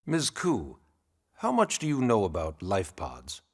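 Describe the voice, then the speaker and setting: A man playing a wry, formal, gently pushy government secretary in a video game cutscene, courteous and smug. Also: on the low side, wildly swooping pitch, measured pace, exaggerated voice. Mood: wry, persuasive. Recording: loud music behind the voice.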